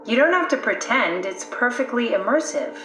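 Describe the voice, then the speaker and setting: A woman's voice: on the high side, big pitch swings, normal speed. Game character, woman scientist stuck in a machine, matter of fact n wry, echoey with music under. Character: female scientist's mind living in a machine, pragmatic, dry. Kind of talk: video game voice acting.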